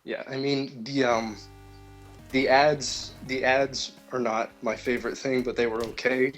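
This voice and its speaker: Male gamer caller, medium pitch, low-key and a little hesitant, casual explaining, faint music behind the call. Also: little pitch movement, measured pace, fairly bright tone, low-quality recording. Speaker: caller to a gaming stream. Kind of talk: casual chat about video games. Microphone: internet voice call.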